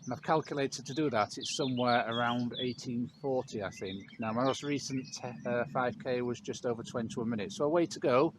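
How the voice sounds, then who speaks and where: A middle-aged man co-hosting a running channel, chatting to camera in a relaxed, reflective way with dry humour. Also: mid-range voice, fairly quick, hardly any pauses, noisy background. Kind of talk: casual chat to camera.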